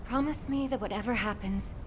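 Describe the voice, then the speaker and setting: A woman acting as a caring, protective scientist in a video game, earnest and emotionally expressive. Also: mid-range voice, a little fast, noisy background, natural voice. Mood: worried, clinical.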